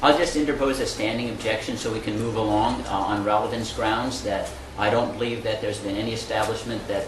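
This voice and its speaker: A man voicing formal legal objections, firm, argumentative and precise. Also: fairly low pitch. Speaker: opposing attorney. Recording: echoey room with faint background noise.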